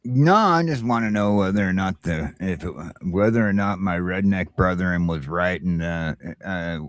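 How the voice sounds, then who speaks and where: Very deep-voiced man in casual chat. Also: normal speed, no room sound, studio quality. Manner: rambling, stammering, repeats himself emphatically. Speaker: talk show host. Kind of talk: casual morning show chat.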